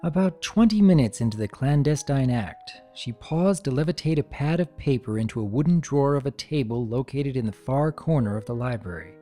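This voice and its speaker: Animated, mellow-voiced story narrator. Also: medium pitch, brisk, hardly any pauses. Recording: music behind the voice.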